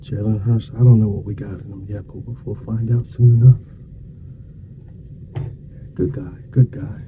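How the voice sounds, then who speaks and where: A man speaking softly, at times nearly whispering, in an ASMR roleplay as a dentist, with folksy, reassuring small talk in short murmured phrases. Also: lowish voice, fairly even intonation, normal speed, highs cut off, background noise. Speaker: ASMR performer playing a dentist. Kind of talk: ASMR roleplay, soft-spoken to half-whispered.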